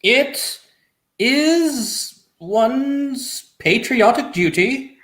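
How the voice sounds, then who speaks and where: A woman playing a stiff, formal gentleman scientist in a comic play table read, stilted and haughty, in an echoey recording. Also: a little high, lively intonation, drawn-out words, full character voice. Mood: guarded, stiff. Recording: echoey, roomy microphone sound.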